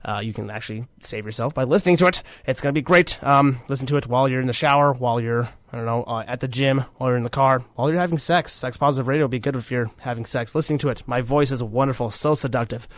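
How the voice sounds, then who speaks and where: A man in his twenties hosting a college radio show, his voice deepened by illness, rambling with many fillers and joking at his own expense. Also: fast, few pauses, dry recording. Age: 20s. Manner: rambling, many fillers and restarts, self-mocking jokes. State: sick, voice deeper than usual. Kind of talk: casual radio chat.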